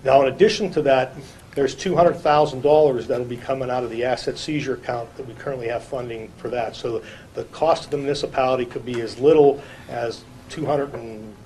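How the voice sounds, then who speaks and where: A man speaking as a police chief, explaining details formally in a halting, long-winded way at a public meeting. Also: medium pitch, steady tone, dark tone, rough recording. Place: indoor public council meeting. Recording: clear background noise, room ambience.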